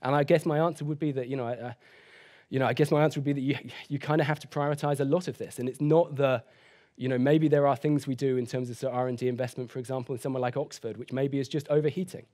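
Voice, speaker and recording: A man in his thirties answering questions after a keynote at a conference, hedging often and speaking quickly. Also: medium pitch, steady tone, mellow. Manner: hedging, sort of, you know. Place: conference hall stage.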